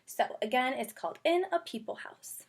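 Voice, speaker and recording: A woman's voice: high-pitched, expressive, normal speed, breathy edge. A woman kindergarten teacher giving a read-aloud lesson, speaking simply and instructively and addressing young children directly. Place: bedroom at home.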